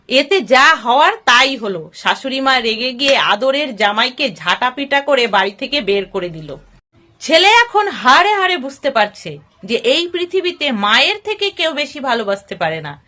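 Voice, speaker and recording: High, bright-voiced woman storyteller. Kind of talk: scripted moral story narration in character dialogue.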